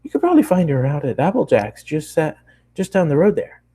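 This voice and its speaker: A man acts out an excitable young cartoon pony girl, pitched far up from a natural speaking voice. The delivery is gushing, exclamatory chatter, bubbly and eager to please. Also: fairly quick, slightly rough, heavily acted. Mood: helpful.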